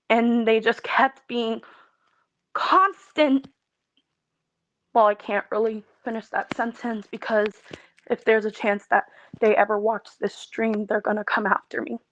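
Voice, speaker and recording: A woman in her twenties venting in a personal video, talking in a halting, weary way with many pauses and restarts. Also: on the high side, fairly bright tone, dry recording, muffled recording. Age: 20s. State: emotionally tired. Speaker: content creator.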